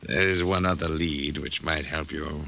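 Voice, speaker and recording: Mysterious formal gentleman client, 1950s radio drama: very low, forceful, precise and deliberate. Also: steady tone, gravelly, a little hiss or hum, heavily acted. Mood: measured, secretive. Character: enigmatic, formal, secretive gentleman client fearing invasion. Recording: vintage 1950s radio recording.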